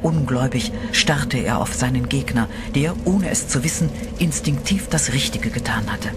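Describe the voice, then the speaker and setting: A man's voice: mid-range voice, moderate pace, heavy background noise. Man narrating a horror radio play. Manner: steady, dramatic storytelling. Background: eerie music.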